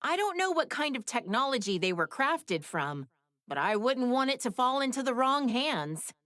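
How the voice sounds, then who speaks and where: A woman's voice: high voice, very animated, moderate pace, a bit bright, dry recording, clean studio sound. An actress voicing a clever, sassy young woman scientist in an anime game, with a wry, chatty, slightly mischievous manner. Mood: sassy, teasing. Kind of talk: scripted game voice lines.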